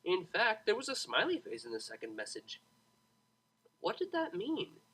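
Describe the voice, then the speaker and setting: A man reading a scripted story as an expressive audiobook narrator, with a dramatic, wry delivery. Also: sits high, moderate pace, slight background noise, low-quality recording. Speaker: narrator, fan fiction audiobook reader.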